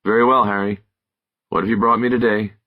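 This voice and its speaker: A man's voice: fairly low pitch, flat delivery, a little fast, dry recording, narrow, tinny audio, voice pushed up, lightly acted. A man voicing a precise, polite firearms lab technician in audiobook dialogue, speaking matter-of-factly.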